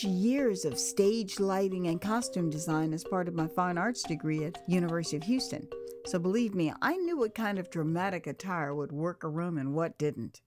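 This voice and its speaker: Expressive American woman storyteller, music behind. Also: lowish voice. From United States. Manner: theatrical, expressive, savouring words. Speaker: author narrating own audio drama. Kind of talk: dramatised memoir storytelling.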